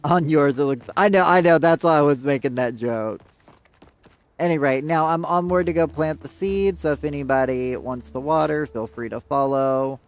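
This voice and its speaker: A man's voice: on the high side, moderate pace, clean tone. A man chatting casually with viewers while playing a game, upbeat, saying y'all, and losing his voice. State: losing his voice, clearing throat. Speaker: game streamer. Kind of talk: casual live-stream chat to viewers.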